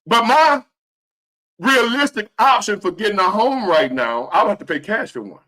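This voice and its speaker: A man in his fifties giving earnest, preachy motivational talk to his viewers, repeating key phrases and swinging between loud and hushed. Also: high voice, lively intonation, normal speed. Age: 50s. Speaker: motivational vlogger.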